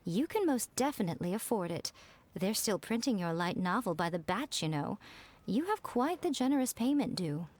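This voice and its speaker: A woman playing a sly, elegant, self-indulgent lady in an anime video game, with a smug, teasing, drawn-out delivery. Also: medium pitch, very animated.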